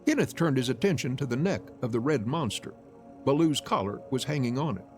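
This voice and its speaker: A man streaming a visual novel, narrating it aloud in an expressive storytelling style. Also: medium pitch, a little fast, soft background music.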